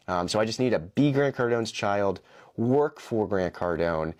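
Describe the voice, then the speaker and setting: Very low-voiced deadpan man. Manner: dry, mock-earnest. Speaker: video commentator. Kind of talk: deadpan step-by-step commentary. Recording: played from a short video clip.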